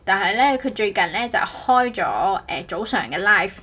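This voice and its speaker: A woman co-hosting a casual podcast, telling stories in an animated, rambling way. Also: mid-range voice, normal speed, slight background noise. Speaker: podcast co-host. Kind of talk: casual chat.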